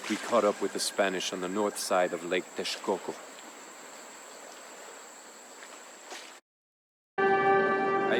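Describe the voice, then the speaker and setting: A man playing a proud, somber Aztec emperor, telling of his people's war in grave, measured, formal game narration. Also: deep voice, natural voice. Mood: grim, vengeful. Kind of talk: solemn scripted narration in character. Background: music.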